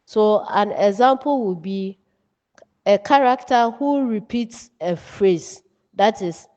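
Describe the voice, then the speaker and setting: A woman with a Ghanaian accent giving an instructional lecture as a writing teacher, in deliberate, emphatic, measured phrases. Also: mid-range voice, darkish tone. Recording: dull, muffled, long room reverb.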